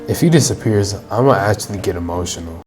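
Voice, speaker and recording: A woman's voice: a bass voice, expressive, quick pace, music in the background. A woman reacting casually to a video game as she streams, with informal, wry asides. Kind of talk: casual live gameplay reaction.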